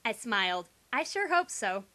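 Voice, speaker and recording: A woman's voice: fairly high pitch, big pitch swings, moderate pace, sharp tone. A young adult woman giving a sarcastic, theatrical reading of a first-person teen story, with exaggerated emphasis. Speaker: amateur reader.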